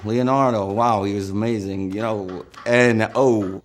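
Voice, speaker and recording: A man in his thirties from Northern California, telling relaxed stories in an interview with lots of fillers. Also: lowish voice, a little slow. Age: 30s. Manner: relaxed storytelling with frequent fillers. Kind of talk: casual anecdotal interview.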